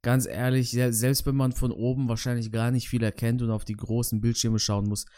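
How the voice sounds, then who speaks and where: A man hosting a casual combat-sports podcast. He talks in easygoing chat and often hesitates and restarts mid-sentence. His voice sits at an ordinary middle pitch for a man. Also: flat delivery, a little fast, mellow. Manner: hesitant, many fillers and restarts. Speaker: podcast co-host.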